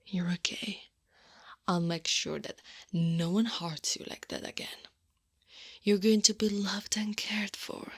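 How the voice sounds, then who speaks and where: Woman as a slow, breathy, whispering monster woman. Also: mid-range voice, steady tone, no room sound, studio quality, heavily acted. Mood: tender, soothing. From Italy. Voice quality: soft, breathy, often whispered. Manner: slow, gentle, deliberate coaxing. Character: female Krampus monster, stern yet tenderly comforting, knowing. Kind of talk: ASMR roleplay, intimate and soothing. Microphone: close-up microphone.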